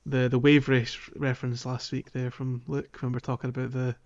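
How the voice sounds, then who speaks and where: A man's voice: mid-range voice, level tone, fairly quick, darkish tone. A man hosting a gaming podcast in casual, informal conversation. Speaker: podcast host. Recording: dull, lo-fi voice recording.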